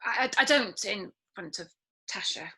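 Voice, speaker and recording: An actress playing a hapless, hungover divorcing woman in her late twenties, halting and flustered, in a table read over a video call. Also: medium pitch, animated, sharp tone. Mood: flustered, apologetic. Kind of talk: acted script table read.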